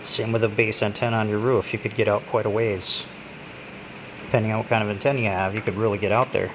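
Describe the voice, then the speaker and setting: A man giving a casual, unscripted hands-on gadget demonstration, halting and pausing as he handles things. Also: lowish voice. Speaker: product reviewer. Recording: steady background hum and noise.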